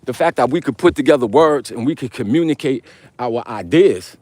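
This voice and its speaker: A man with a deep voice hosting a casual, rambling vlog, speaking in slangy, conversational style and addressing listeners as brother and man. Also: animated, a little fast, a little mellow, dry recording. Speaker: host of a live vlog. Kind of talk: casual rambling talk, answering viewer comments.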